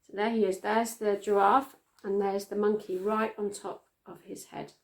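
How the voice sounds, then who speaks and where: A woman reads a story for children. She is middle-aged, with a mid-range voice and an expressive storytelling manner, recorded indoors in a small room. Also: mid-range voice, moderate pace. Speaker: storytime reader. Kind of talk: reading a children's story aloud.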